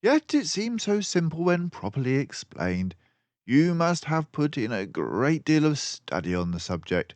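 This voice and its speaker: A man's voice: on the high side, big pitch swings, a little slow, a little mellow, no room sound, pitched above the performer's own voice. A man voicing a loyal, stout-hearted mouse companion in a storybook, speaking earnestly and emphatically. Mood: admiring. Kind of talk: storybook character voice.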